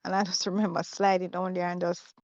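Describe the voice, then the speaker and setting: A woman with a Jamaican accent, halting and searching for words while she recalls a school memory. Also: fairly low pitch, level tone, darkish tone, no room sound, muffled recording. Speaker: guest, former student. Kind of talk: casual storytelling on a video call.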